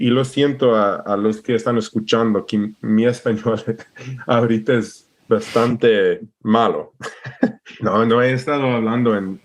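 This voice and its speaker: A man with an American English accent speaks Spanish slowly and at a middle pitch. He talks as a guest in a relaxed conversation. He keeps breaking off and restarting while he searches for the right word. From Alaska, USA. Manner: hesitant, searching for words with many restarts. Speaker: podcast guest. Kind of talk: casual interview conversation.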